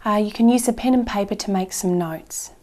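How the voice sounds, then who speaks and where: A woman's voice: medium pitch, a little fast, darkish tone. A woman playing an exam interviewer, asking questions in a polite, formal way. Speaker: examiner.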